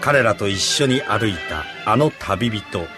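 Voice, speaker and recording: A man's voice: on the low side, lively intonation, slow. A man narrating a Bible story for a radio drama in a dramatic storytelling manner. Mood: solemn narration. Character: solemn storyteller of a Bible tale. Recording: music behind the voice.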